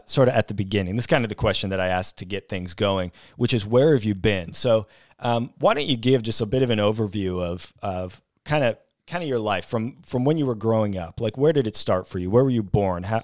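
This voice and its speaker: A man hosting an interview, talking in a halting way with many fillers and restarts. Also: lowish voice, expressive, no echo, studio quality. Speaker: host.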